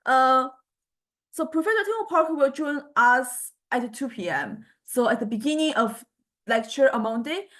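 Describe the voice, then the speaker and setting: A woman lecturing to a university class informally, speaking in halting phrases and often repeating words. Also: on the high side, a bit bright.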